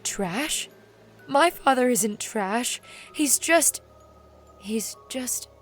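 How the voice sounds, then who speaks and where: A woman playing a hurt, despairing teenage girl in an audio drama, halting and plaintive. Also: fairly high pitch, lively intonation, soft background music. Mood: hurt, defensive.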